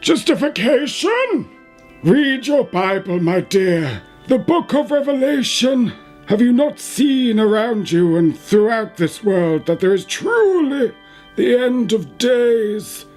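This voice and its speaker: An actor playing a suavely menacing, fanatical bishop in a radio play, theatrical and condescending. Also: male voice, very high-pitched, sing-song, slow, darkish tone, soft background music, full character voice. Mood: fanatical, preaching.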